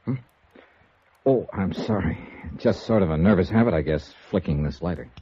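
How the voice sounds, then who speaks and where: An American man playing a brisk, wry hard-boiled insurance investigator in 1950s radio noir style, clipped and dry. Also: low-pitched, slight background noise, natural voice. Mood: polite, guarded. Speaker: lead actor and narrator. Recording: dull old radio recording, faint music.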